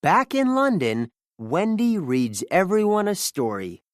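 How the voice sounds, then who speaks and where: A narrator reading a children's storybook aloud in an animated, scripted style. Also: medium pitch, measured pace, dry recording, studio quality.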